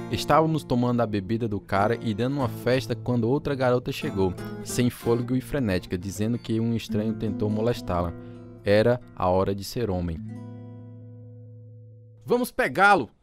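A man giving scripted, dramatised first-person narration as a storyteller. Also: lowish voice.

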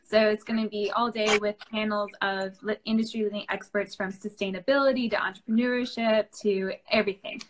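A woman's voice: mid-range voice, a bit bright, rough recording. A woman in her thirties hosting a casual video call interview from home, friendly and agreeable. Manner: friendly, encouraging, quick to agree. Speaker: host. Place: home room indoors. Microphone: video call webcam.

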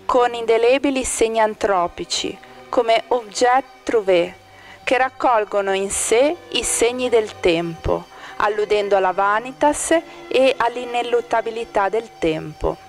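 A woman with a fairly high voice reads a scripted commentary on an art exhibition. Her delivery is measured and formal, like a critic's text read aloud. A faint violin plays softly behind her. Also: soft background music. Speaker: exhibition narrator.

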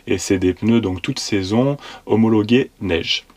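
A man's voice: fairly low pitch, measured pace, a little mellow. A man in his thirties casually explaining his van conversion from inside the van, hesitant, with many fillers. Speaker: vanlife vlogger.

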